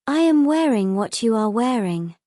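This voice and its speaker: A woman's voice: on the high side, big pitch swings, normal speed, warm tone, no echo, studio quality. A woman playing a hesitant, naive young mermaid with simple, careful phrasing in a fantasy audio story. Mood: reluctant, complaining.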